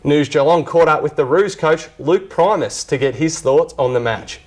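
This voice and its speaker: A man's voice: a little high, moderate pace. Australian man reading sports news. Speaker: sports reporter. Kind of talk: scripted sports news narration.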